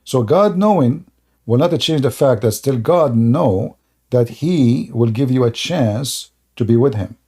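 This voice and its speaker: A man, hosting a live-streamed religious debate and explaining slowly with many fillers and restarts. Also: mid-range voice, lively intonation, dark tone, husky. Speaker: debate host.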